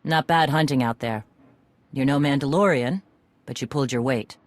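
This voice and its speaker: An actor playing a tough, no-nonsense warrior clan leader in a video game, giving blunt orders. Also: male voice, mid-range voice, normal speed, lightly acted. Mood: blunt, measured. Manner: blunt, commanding.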